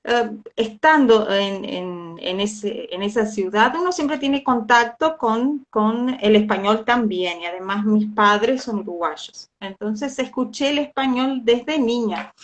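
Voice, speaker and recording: Brazilian woman, native Portuguese speaker, slightly high voice, easygoing guest who laughs a lot and hesitates. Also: lively intonation, slow, hardly any pauses. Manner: laughs often, hesitant with fillers and restarts. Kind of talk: casual conversational storytelling.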